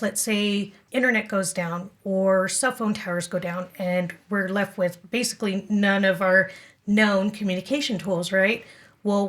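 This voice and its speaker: A woman's voice: medium pitch, fairly bright tone. A woman speaking as an interview guest, explaining things conversationally with everyday examples and checking that listeners follow. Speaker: podcast guest, amateur radio club event director.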